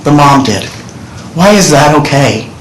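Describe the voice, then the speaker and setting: A man's voice: on the high side, lively intonation, unhurried, a little hiss or hum. A middle-aged man giving earnest, direct testimony to senators from a desk microphone in a large hearing room. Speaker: witness testifying. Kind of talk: public testimony. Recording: roomy hearing room audio.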